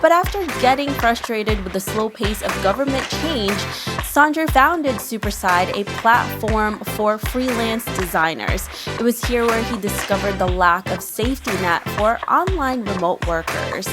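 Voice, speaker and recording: A woman's voice: on the high side, animated, measured pace. Upbeat woman podcast host over music. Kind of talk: podcast interview.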